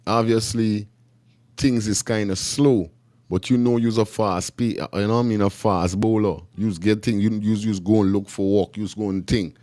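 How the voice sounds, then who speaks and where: Man, Guyanese accent, low voice, dry close sound, slangy, repetitive, casual livestream host. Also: steady tone, normal speed, warm tone, dry recording. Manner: repetitive, slangy street talk. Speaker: live stream host. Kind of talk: casual live chat commentary.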